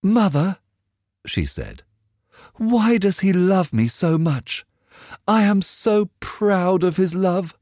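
A gushing young girl in love, her voice lifted high and swinging widely, as the storyteller puts her on. She speaks slowly and breathily, with a close, dry sound. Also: sing-song, a little mellow, no room sound. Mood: dreamy. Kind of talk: storybook reading.

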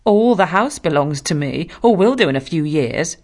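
A woman's voice: low-pitched, lively intonation, normal speed, darkish tone. A woman voicing a spoiled, bullying teenage boy in a storybook reading, sneering and contemptuous.

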